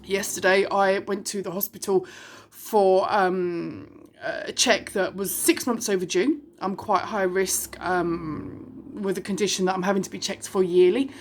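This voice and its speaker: A woman's voice: medium pitch, fairly even intonation, unhurried, a little hiss or hum. A British woman in her forties, from the UK, speaking bluntly to her viewers in a candid monologue, full of rhetorical questions and the odd swear word. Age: 40s. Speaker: vlogger.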